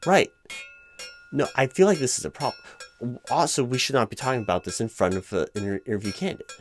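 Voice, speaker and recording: A man's voice: mid-range voice, very animated, quick pace, soft background music, natural voice. A man playing a distracted, flustered corporate hiring manager in a comedic roleplay, speaking in wordy, stammering office formality. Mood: uneasy, protesting. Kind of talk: comedic one-sided ASMR-style roleplay, spoken aloud.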